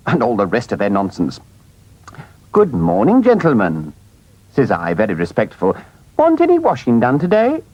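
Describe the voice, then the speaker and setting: Man doing a high, gleeful little mole. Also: darkish tone, dry recording, dull audio, exaggerated voice. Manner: gleeful, storytelling. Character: small cheeky gleeful male mole.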